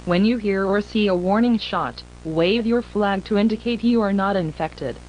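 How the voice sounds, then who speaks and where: A woman performs an emergency broadcast announcer for analog horror, grave and impersonal. Her mid-pitched voice delivers formal, measured warnings as scripted alerts. A faint humming noise sits under the recording. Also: a little mellow, natural voice, rough recording. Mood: urgent warning.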